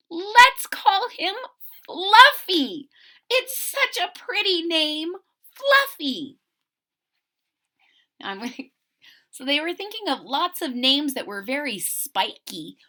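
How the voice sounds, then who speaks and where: A woman reading a children's story aloud with exaggerated, drawn-out emphasis and chatty asides to her listeners. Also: a little high, bright, forceful tone. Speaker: storybook read-aloud host.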